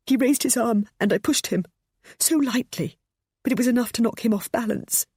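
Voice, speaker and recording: A woman voicing a frightened, tearful middle-aged mother who speaks in a high, breaking voice. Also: normal speed, mellow, slightly rough, no echo, clean studio sound. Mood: distraught.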